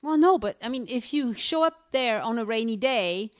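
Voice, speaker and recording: A woman hosting a travel podcast in a fairly high voice. She chats casually, laughs easily and trips over hard names while reading listener reviews. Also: expressive, moderate pace. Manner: chatty, laughs often, stumbles over names. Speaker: podcast host.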